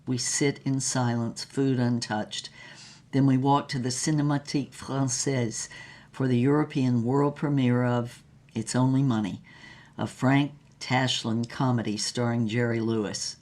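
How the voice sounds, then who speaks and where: A woman's voice: extremely low pitch, steady tone, unhurried, a little mellow. A woman playing a wistful, heartbroken former actress who narrates her lost love in an audio drama with dramatic, lingering melancholy. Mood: bleak, wistful.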